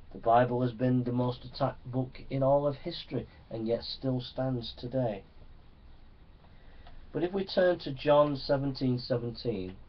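A male preacher teaches from the Bible in an earnest, exhorting way. His voice sits at an average pitch for a man. It is picked up some distance from the microphone, and the sound is a little dull. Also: a little slow, long pauses. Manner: earnest, exhorting, often seeking assent. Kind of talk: Bible teaching sermon to young people.

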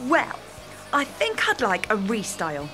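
A woman's voice: medium pitch, wildly swooping pitch, measured pace, bright, forceful tone, faint music behind, full character voice. A woman playing a polite, slightly hesitant salon client in an audio play. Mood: hesitant, agreeable. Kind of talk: amateur audio play acting.

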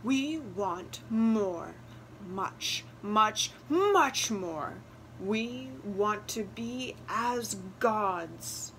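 A woman's voice: high-pitched, animated, a little slow, fairly bright tone, voice pushed up, poor audio. A woman voicing a greedy tsar and tsarina in a storybook, growling and hissing commands. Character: greedy royal couple, tsar and tsarina, domineering.

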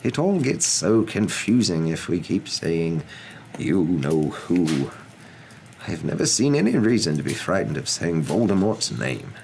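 A man voicing a gentle, calm old wizard with a courteous, unhurried and whimsical way of talking, in a storybook reading. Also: sits low, exaggerated voice. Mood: earnest, calm. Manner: unhurried, courteous, mildly amused. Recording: clear background noise.

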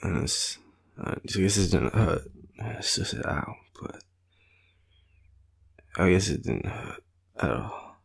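A man's voice: low voice, animated, slow pace, creaky, pauses often, slightly rough. A tired man with an Australian accent, murmuring and rambling drowsily as a clingy, teasing boyfriend in an intimate roleplay, often half-whispering. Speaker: audio roleplay performer playing a boyfriend.

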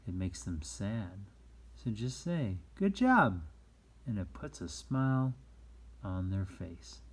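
A man's voice: lowish voice, fairly bright tone, husky. A man reading a picture book aloud to young children in simple, encouraging phrases. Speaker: read-aloud storyteller for children.

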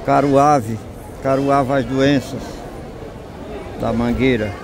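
A man with a Northeastern Brazilian accent walking through an open-air market, slowly pointing out and savoring the food on sale. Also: medium pitch, fairly even intonation. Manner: slow, savoring, points out goods. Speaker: vlogger. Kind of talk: walking market tour commentary. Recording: loud crowd noise outdoors. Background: crowd chatter and vehicles.

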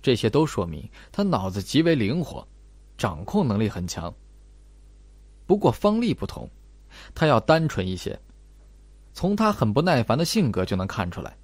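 A man playing a calm, clever young male student detective in an audiobook, measured and explanatory. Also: medium pitch, breathy edge, no room sound. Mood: cool, analytical.

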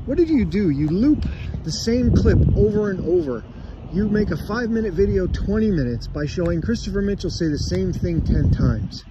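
A man's voice: sits high, lively intonation, moderate pace. A man walking his dog outside, ranting sarcastically into his phone in a casual vlog. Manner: sarcastic, taunting. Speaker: vlogger. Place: outdoors on a grassy roadside in winter. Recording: lo-fi phone audio with outdoor traffic noise.

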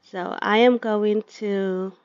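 A woman's voice: medium pitch, steady tone, takes it slowly, a little mellow, clean tone, no echo. A woman giving chatty, casual commentary on her gameplay and talking to her game characters, in a slightly dull lo-fi recording. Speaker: gaming video creator.

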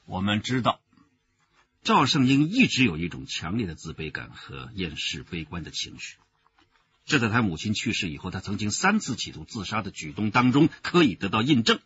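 An actor playing a seasoned police detective in a radio drama, explaining with deliberate authority. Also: male voice, on the low side, sing-song, a bit bright. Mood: analytical, grave. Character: seasoned male police detective leading case analysis. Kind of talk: Chinese radio drama acting. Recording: muffled radio drama recording.

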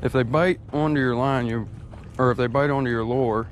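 A man's voice: medium pitch, fairly even intonation, a little fast. A man vlogging as he fishes at a quarry lake, talking in a casual, laid-back, drawling way while he casts, recorded on a head-mounted action camera. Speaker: fishing vlogger. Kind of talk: casual outdoor narration. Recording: faint outdoor noise.

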